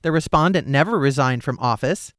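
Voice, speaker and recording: A man playing a formal, questioning judge in a satirical radio drama, speaking in a measured, official manner. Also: a little high, fairly bright tone, no room sound, clean studio sound, natural voice. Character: formal, questioning judge presiding over a trial.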